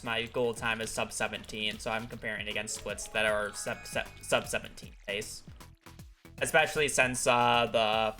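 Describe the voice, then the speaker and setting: A man's voice: medium pitch, pushed and bright. A man talking casually over a live gaming stream, explaining at length with many fillers, his voice congested from a recent illness. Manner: rambling, filler-heavy explanations.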